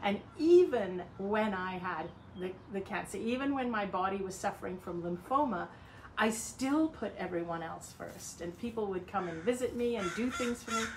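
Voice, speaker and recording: A woman's voice: mid-range voice, animated, moderate pace. Older woman chatting to a phone in her backyard, sounds kinda far off, outdoor hiss behind. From Hong Kong. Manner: warm, earnest, gestures a lot with her hands. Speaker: self-help speaker. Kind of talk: casual talk to camera. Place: sunny backyard garden outdoors. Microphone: phone camera at a few feet. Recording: distant outdoor mic with ambient noise.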